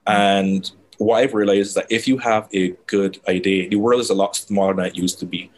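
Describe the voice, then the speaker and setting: A man from Barbados, a guest talking about building a brand in a relaxed, dry conversational way. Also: fairly low pitch, fairly quick. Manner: relaxed, reflective, self-described dry delivery. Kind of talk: casual interview conversation.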